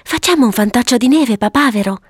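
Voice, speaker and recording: A woman voicing a sweet, eager, bossy little girl in a storybook, earnest and excitable as she gives instructions. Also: on the high side, brisk, breathy edge, no echo, clean studio sound, pitched above the performer's own voice. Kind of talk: storybook character voice.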